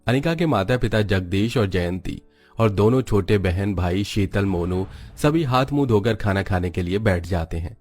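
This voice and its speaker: A man's voice: fairly low pitch, lively intonation, moderate pace, darkish tone, soft background music. A man narrating a Hindi cartoon story in a scripted storytelling style.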